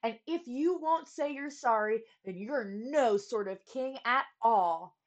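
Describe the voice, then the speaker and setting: Woman reading as an earnest, outspoken boy, high-pitched. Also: takes it slowly. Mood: defiant. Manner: urgent, plain-spoken, scolding. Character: earnest, bold boy, outspoken to his king.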